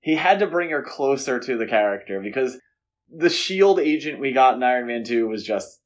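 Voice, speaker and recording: A man's voice: mid-range voice, lively intonation, moderate pace. Casual, wry male podcast co-host. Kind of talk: casual film discussion.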